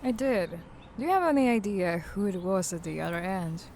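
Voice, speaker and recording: Woman acting a lively-pitched, guarded young huntress. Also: normal speed, a little hiss or hum, lightly acted. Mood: subdued. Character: guarded, questioning young woman monster hunter. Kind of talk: audio drama acting.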